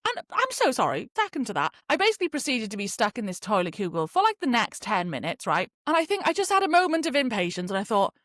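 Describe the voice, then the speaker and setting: Ożywiona walijka opowiadająca anegdotę. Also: female voice, fairly high pitch, fast talker, hardly any pauses, no echo, clean studio sound. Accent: Welsh. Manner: animated, laughs often, swears freely. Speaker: podcast host. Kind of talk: casual storytelling monologue.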